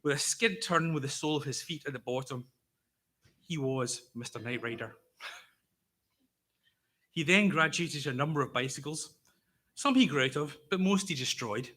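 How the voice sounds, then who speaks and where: A Scottish man in his fifties giving a prepared eulogy for his brother, speaking slowly and carefully with a wry, gently humorous storytelling manner. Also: a little high. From Scotland. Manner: deliberately slow, careful delivery. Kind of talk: prepared memorial speech.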